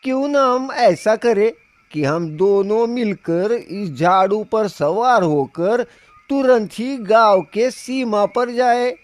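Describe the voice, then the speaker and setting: Man acting a high-voiced sly woodcutter. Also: slow, no echo. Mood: coaxing. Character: sly, brave woodcutter posing as a sorcerer. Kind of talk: children's fairy-tale cartoon acting.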